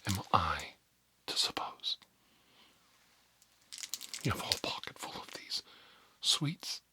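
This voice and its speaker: A man playing a stern, pompous school principal in a whispered ASMR role play, scolding pupils in halting, drawn-out phrases. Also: sits low, sing-song. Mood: stern, accusing. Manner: pompous, halting, drawn-out, repeats words for emphasis.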